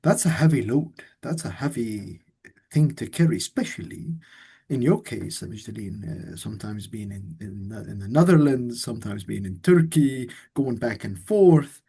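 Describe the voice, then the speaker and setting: Mellow, husky-voiced male host. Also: fairly low pitch, expressive, normal speed. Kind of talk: reflective interview questions.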